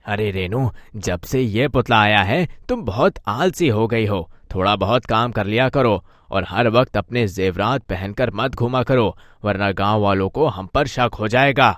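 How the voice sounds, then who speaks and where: A man voicing a quick-tempered, bickering village husband and petty thief in a cartoon, with dramatic delivery. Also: fairly low pitch, a bit bright, dry recording, clean studio sound. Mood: scolding. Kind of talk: Hindi moral-story cartoon dub.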